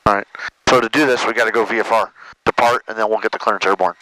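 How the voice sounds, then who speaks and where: A man's voice: on the low side, fairly even intonation, fast talker, bright, forceful tone, poor audio. An American man giving casual, matey flight instruction from the cockpit of a small plane over a headset. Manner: informal, matey. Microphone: aviation headset. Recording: cockpit intercom with faint engine noise.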